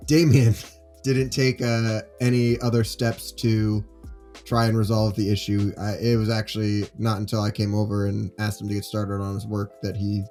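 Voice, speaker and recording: A man playing a concerned high school teacher who explains things hesitantly in improvised audio drama. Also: flat delivery, moderate pace, mellow, faint music behind, close to an everyday voice.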